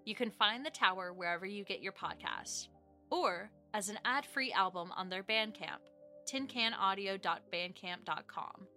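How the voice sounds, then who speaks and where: A woman's voice: medium pitch, normal speed, sharp tone, soft background music. A woman reading scripted promotional announcements as a podcast producer.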